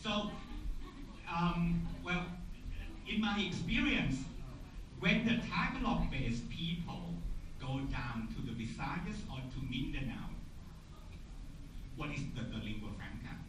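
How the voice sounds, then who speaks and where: A man with a Thai accent, a native Thai speaker, giving a plenary lecture at a conference in a slow, halting way with many fillers and questions to the audience. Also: on the high side, lively intonation. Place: large conference hall. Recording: echoey hall, distant mic, noisy.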